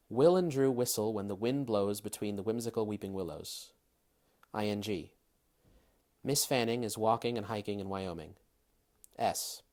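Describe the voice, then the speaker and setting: Man, 30s, Massachusetts-raised, near general American accent with faint British touches; low voice, careful, measured delivery. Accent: Massachusetts, largely general American with slight British traces. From Massachusetts, USA. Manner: careful, crisp reading, then relaxed with hedges and fillers. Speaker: dialect coach, interviewee. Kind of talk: reading word lists, then casual interview answers.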